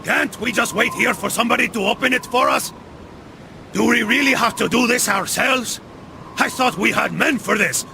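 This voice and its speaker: An actor playing a sardonic, greedy mercenary rogue with a Spanish accent in a video game, flippant and wisecracking. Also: male voice, very high voice, moderate pace, gravelly, noisy background. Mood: griping. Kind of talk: dramatic video game acting.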